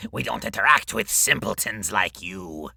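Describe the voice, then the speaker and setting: A man's voice: on the low side, animated, no echo, studio quality, exaggerated voice. A man playing a sneering evil warlock in an audiobook, with a harsh, contemptuous voice. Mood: scornful, menacing. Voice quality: gravelly, harsh, forceful. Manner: slow, contemptuous. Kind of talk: audiobook character acting.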